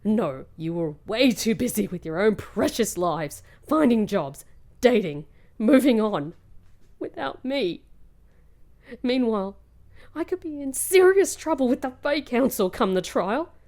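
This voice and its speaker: Casting: actress as bitter, wounded young fantasy heroine, highish voice, unhurried, dramatic delivery. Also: female voice, big pitch swings, a little mellow. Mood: angry, anguished. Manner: emotional, dramatic, British slang. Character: hurt, bitter young woman back from fairy realm captivity. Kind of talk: audio drama acting.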